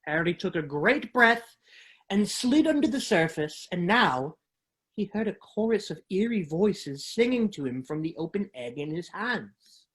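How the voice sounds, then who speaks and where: A woman's voice: on the low side, big pitch swings, measured pace, breathy edge. A woman actor giving a dramatic reading from a book.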